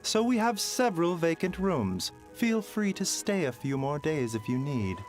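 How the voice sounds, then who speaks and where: A polite, gentle doctor for a game. His voice is a touch high and swings widely in pitch, staying considerate and measured. Music plays clearly behind him. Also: big pitch swings. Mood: polite, attentive. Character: gentle, courteous doctor, soft-spoken gentleman. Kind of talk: game character acting.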